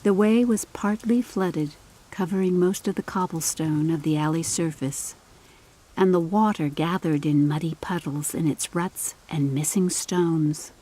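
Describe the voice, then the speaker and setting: Slow, low-voiced woman narrating a story. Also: mellow, husky, poor audio. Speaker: audiobook narrator. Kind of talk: scripted dramatic story narration.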